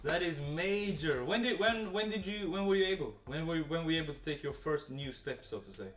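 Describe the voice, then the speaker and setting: A man's voice: a little high, animated, a little fast, noisy background. Male fitness coach, webinar host. Casual, effusive encouragement, roomy sound, slightly off-mic. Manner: effusive, encouraging, informal hype. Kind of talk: casual group webinar hosting. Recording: roomy, distant webinar audio with faint music.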